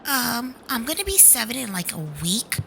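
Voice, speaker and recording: A woman's voice: on the high side, big pitch swings, normal speed, gravelly, sharp tone, faint music behind, full character voice. A woman acting as a witty, cheeky six-year-old girl with mock-innocent sass in an audio drama. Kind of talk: amateur radio drama acting.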